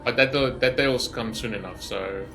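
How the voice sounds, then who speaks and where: A man's voice: medium pitch, normal speed, fairly bright tone, soft background music. A man giving casual, rambling game reaction commentary in an informal way, as a gaming YouTuber.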